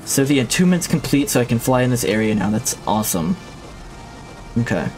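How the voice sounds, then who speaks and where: A man's voice: mid-range voice, big pitch swings, a little fast, a little mellow, faint music behind. Rambling man chatting on a game stream. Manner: rambling and reflective, with many restarts. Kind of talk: casual chat while livestreaming a game. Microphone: streaming mic with a limiter.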